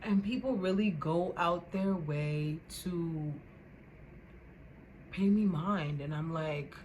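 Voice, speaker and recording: A young woman vlogger with a loud voice, ranting casually to the camera in an animated, indignant way and repeating words for emphasis. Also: fairly low pitch, takes it slowly, dull audio, a little hiss or hum.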